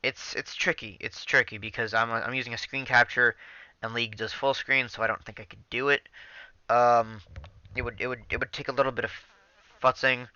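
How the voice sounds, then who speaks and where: A man's voice: medium pitch, level tone, a little fast, bright, forceful tone, clear, resonant tone, dry recording, lo-fi sound. A young man casually talking over his gameplay into a headset mic, rambling with many ums and restarts. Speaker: gaming channel host.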